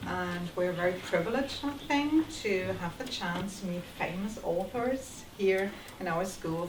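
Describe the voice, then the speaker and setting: A teacher making a polite, formal welcome speech at a school reading, speaking English as a German native with some ums. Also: female voice, on the low side, background noise. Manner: polite, careful, with ums and pauses. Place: school hall. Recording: echoey hall, distant mic, audible ambience.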